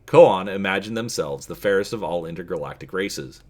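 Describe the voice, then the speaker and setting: Low-pitched man, very animated dramatic reading. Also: fairly quick. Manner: expressive, theatrical reading with occasional stumbles. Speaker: reader and channel host. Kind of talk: dramatic storybook narration.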